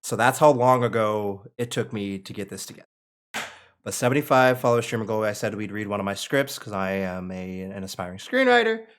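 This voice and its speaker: Low-voiced young man rambling on stream. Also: expressive, a little fast. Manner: rambling, self-correcting. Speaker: host and aspiring screenwriter reading stage directions. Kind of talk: casual livestream introduction and script narration.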